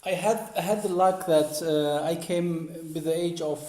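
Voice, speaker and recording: A man, recounting family history as an interview guest in halting English, searching for words. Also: a little high, mellow. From Lebanon. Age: 40s. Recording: roomy, echoey sound.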